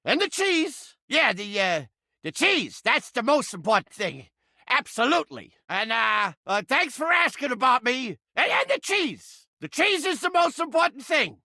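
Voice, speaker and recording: A man's voice: very high-pitched, sing-song, unhurried, vocal fry, bright, forceful tone, no echo, studio quality, heavily acted. An actor playing a cranky, manic living mousetrap in a video game, with blustering, streetwise wise-guy talk. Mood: cranky, evasive. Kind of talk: animated video game character acting.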